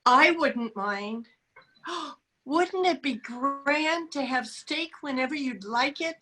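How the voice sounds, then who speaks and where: A woman's voice: high voice, animated, takes it slowly, sharp tone, a little hiss or hum, heavily acted. A woman playing a naive, eager young boy in a radio play, chatting with wide-eyed earnestness. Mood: eager, earnest.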